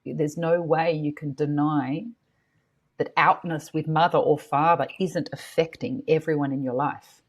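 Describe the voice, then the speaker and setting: A woman's voice: low voice, steady tone, unhurried. A middle-aged woman speaking passionately and earnestly as a guest on a podcast interview, swearing casually now and then. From Australia. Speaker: guest, school co-founder.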